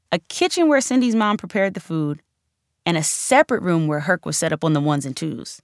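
A woman's voice: medium pitch, no room sound. A woman reading her own nonfiction audiobook in a conversational, emphatic storytelling manner, close on the microphone. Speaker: author narrating own audiobook. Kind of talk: scripted audiobook narration.

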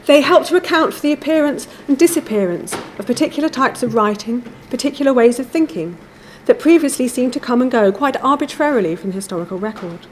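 A woman giving a measured, formal academic lecture from a prepared text. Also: high voice, lively intonation, a little hiss or hum. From England.

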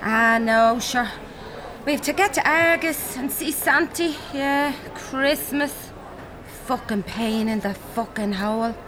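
A woman's voice: a little high, slow. An actress playing a harried, foul-mouthed working-class mother in a radio drama, brash and used to calling out to children. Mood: harried, friendly. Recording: noisy shopping centre ambience. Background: clear public ambience.

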